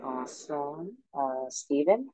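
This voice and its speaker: A woman's voice: low voice, lively intonation, takes it slowly, mellow, slight background noise, low-quality recording. A woman moderating a singles show, calling on guests and posing questions to the group. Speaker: host of a singles dating show. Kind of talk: upbeat group video-call moderating, reading questions.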